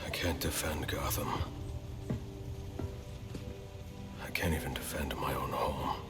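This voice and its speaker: An actor playing a grim, driven young masked vigilante in a video game, terse, intense and commanding. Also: male voice, fairly even intonation, brisk. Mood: defeated, despairing. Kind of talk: dramatic video game acting. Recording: loud music and rumbling effects behind.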